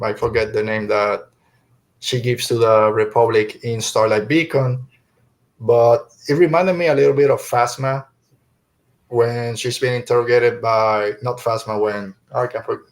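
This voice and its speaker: A man hosting a podcast, chatting casually through a review and often searching for his words as he rambles. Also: medium pitch. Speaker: podcast host.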